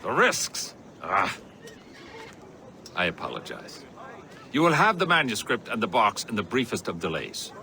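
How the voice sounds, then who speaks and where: A man playing a suave, menacing, haughty gentleman villain in a video game, with pompous, theatrical delivery. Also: on the low side, sing-song, a little slow, long pauses, a little hiss or hum, heavily acted.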